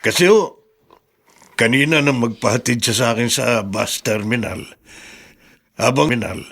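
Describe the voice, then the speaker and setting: Filipino driver, creaky mid-range voice, humble, hesitant, loyal, radio drama delivery. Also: male voice, mid-range voice, normal speed, fairly bright tone. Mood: sympathetic. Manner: respectful, hesitant. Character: loyal, deferential driver consoling his boss.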